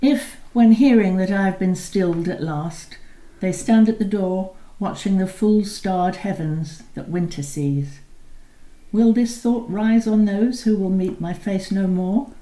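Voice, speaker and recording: Brief: woman reader, warm low tone, unhurried and expressive, recorded in an echoey room. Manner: measured, expressive reading, slowing on key lines. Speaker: poetry reader. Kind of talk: poetry recital with spoken introductions. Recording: roomy echo.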